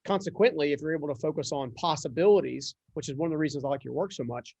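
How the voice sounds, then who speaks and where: A man's voice: fairly high pitch, fast talker, gravelly, a little mellow, husky, dry recording. A man hosting a conversational podcast interview, talking in an earnest, wordy and reflective way. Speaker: interview host.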